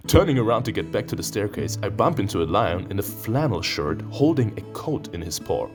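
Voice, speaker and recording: A man's voice: on the low side, big pitch swings, a little fast, over music. A man hosting a gaming video in a playful, theatrical way, switching between reading visual novel text aloud and commenting on it. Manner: playful, theatrical, prone to sudden outbursts.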